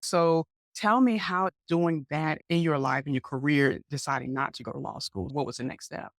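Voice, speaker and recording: A woman from Georgia hosting an interview podcast, animated and encouraging in casual chat. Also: sits low, moderate pace, no room sound, clean studio sound. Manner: animated, encouraging, lots of affirming backchannels. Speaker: podcast host.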